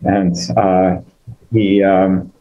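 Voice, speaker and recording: Older American man, very low dark voice, halting storyteller, muffled call audio. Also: flat delivery. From United States. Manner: hesitant, many fillers and restarts. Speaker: guest speaker. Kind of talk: conversational personal story. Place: online video call.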